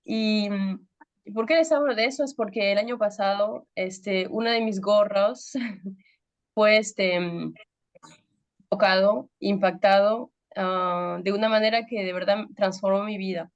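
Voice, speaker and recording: A woman in her thirties, a pastor from Peru, with a Peruvian Spanish accent touched by French, giving a women's ministry talk and pausing often with fillers to search for words. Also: medium pitch, steady tone, muffled recording. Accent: Peruvian Spanish with some French influence. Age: 30s.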